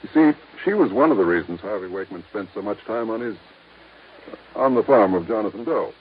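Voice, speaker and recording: A cagey country lawyer, acted by a man, answers in a low, guarded voice. The sound is dull, with a faint hiss underneath. It comes from an old radio play. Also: animated, a little fast, lightly acted. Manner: guarded, curt.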